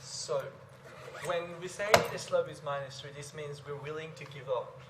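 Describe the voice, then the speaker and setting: A man's voice: a little high, moderate pace. A young man from Iran with a Persian accent, a native Persian speaker and student, chatting casually and hesitantly with many likes and restarts. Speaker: engineering student. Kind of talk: casual chat with tutor. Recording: echoey room with clear noise.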